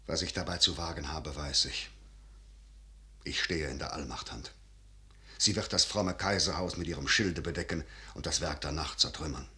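Stern scheming general dad, really deep, sharp-edged voice, solemn old 1950s radio drama verse. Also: male voice, full character voice. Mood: grave, resolute. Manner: declamatory, solemn, measured. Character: grave, scheming imperial general and father, older man. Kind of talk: 1950s German radio play, classical verse acting.

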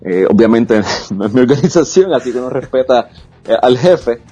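A man's voice: mid-range voice, animated, moderate pace, warm tone, slightly rough, muffled recording, faint music behind. A man, an esports caster speaking frankly and confidently as a guest in a casual interview. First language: Spanish. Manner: frank, self-assured, with frequent restarts.

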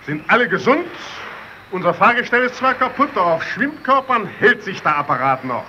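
Stranded soviet pilot, really high sharp voice, determined, muffled old radio drama. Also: male voice, sing-song, moderate pace, full character voice. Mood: resolute. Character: determined Soviet polar airman stranded on the ice. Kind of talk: German radio play acting. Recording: muffled old radio recording, faint noise.

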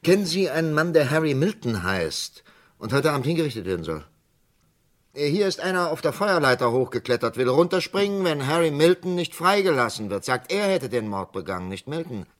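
An actor playing a businesslike doctor making an urgent report, clipped, in a 1960s German radio play. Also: male voice, fairly high pitch, very animated, fairly quick.

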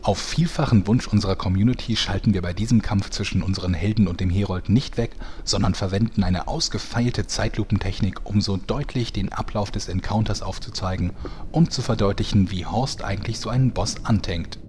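Male actor as formal mock-serious fantasy narrator for comic radio play, lowish register, slightly noisy recording. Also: a little fast, a little mellow, lightly acted. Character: pompous deadpan storyteller commentating a fantasy battle. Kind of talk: scripted narration and commentary.

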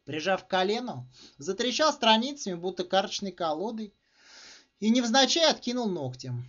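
A man with a high-pitched voice narrates a short story with emphatic, theatrical phrasing. His intonation swings widely from phrase to phrase. The recording sounds dull and muffled. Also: big pitch swings, normal speed, fairly bright tone. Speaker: author reading own short story. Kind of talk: expressive literary narration.

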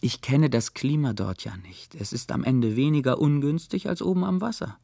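A man's voice: mid-range voice, expressive, normal speed, vocal fry, warm tone, no room sound. An actor playing a dreamy, lovesick, polite young man in a radio play, musing and courteous. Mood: feigning casualness. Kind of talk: German radio play acting.

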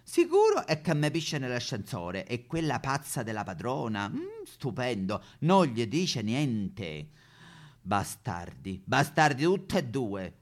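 A man's voice: medium pitch, wildly swooping pitch, slow pace. A man playing a suspicious, bigoted apartment-block resident in a satirical stage monologue, muttering and cursing sourly with a Roman accent. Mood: bitter, disgusted. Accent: Roman Italian.

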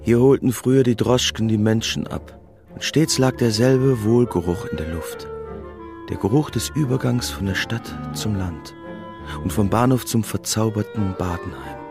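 A man's voice: fairly low pitch, expressive, normal speed, creaky, music in the background. An actor in a radio play voicing a wistful, reflective man remembering old times. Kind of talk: radio drama monologue.

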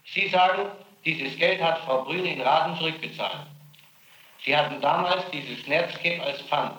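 A man's voice: on the high side, animated, unhurried, sharp tone, roomy sound, rough recording. A male interrogator putting matter-of-fact questions to a witness.

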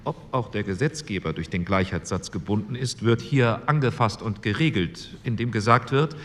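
An actor playing a precise male legal scholar who explains constitutional points in a deliberate, lecturing manner. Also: lowish voice, natural voice. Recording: reverberant hall with faint room noise.

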